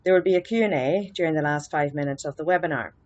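Low-voiced Irish woman giving a webinar. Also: fast talker, darkish tone, muffled recording. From Ireland. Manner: earnest, explanatory, with frequent fillers and restarts. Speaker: webinar presenter, social worker. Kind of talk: webinar lecture. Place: small office indoors. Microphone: laptop webcam, video call.

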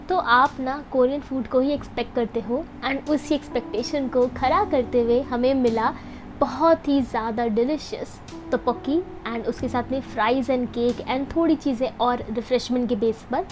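A woman's voice: high-pitched, normal speed, noisy background. Chatty young woman narrating a vlog. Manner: chatty, upbeat, addresses viewers directly. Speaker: food and event vlogger. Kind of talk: voice-over narration mixing Hindi and English.

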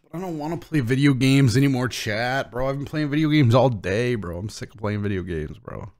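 A man's voice: medium pitch, animated, brisk, a little mellow. A young man streaming games and joking around with friends, laughing often. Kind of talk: casual gaming stream banter.

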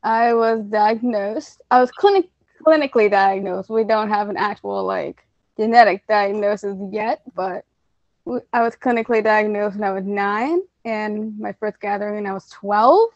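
A woman in her twenties talking haltingly on a video call. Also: mid-range voice, no echo. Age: 20s. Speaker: panelist. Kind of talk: casual video call chat. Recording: dull muffled call audio.

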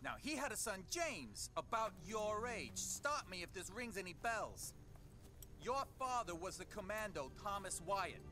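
An actor playing a defiant soldier in a video game, probing and accusing as if questioning a traitor. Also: male voice, high voice, big pitch swings, a little slow. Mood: probing, sardonic.

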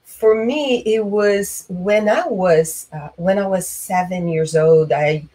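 Slow, low-voiced woman from Brazil. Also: dark tone. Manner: slow, reflective, many fillers. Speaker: guest. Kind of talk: video call conversation. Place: small room indoors. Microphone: webcam on video call.

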